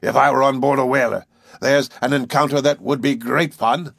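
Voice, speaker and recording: A boisterous harpooner bursts out loud and boastful, his husky voice raised a little above the reader's own. A man reads him out in a dry, close recording, all excitable bluster. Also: medium pitch, normal speed, no room sound, voice pushed up. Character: boisterous burly male Canadian harpooner, blunt.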